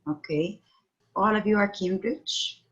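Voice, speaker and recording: A woman's voice: medium pitch, takes it slowly. A middle-aged woman teaching an online English class over a phone video call, patiently questioning her students. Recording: dull lo-fi call audio.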